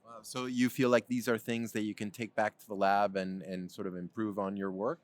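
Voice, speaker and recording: A man's voice: fairly low pitch, little pitch movement, normal speed, no echo. Chatty male host, dry close sound. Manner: friendly, chatty, with fillers like "you know". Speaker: interviewing host. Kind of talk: conversational interviewing. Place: convention center floor.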